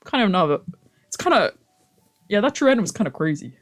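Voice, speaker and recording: This is a game streamer with a mid-pitched voice. The delivery moves between casual, slangy chat with some swearing and reading visual novel text aloud. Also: very animated, brisk, a bit bright.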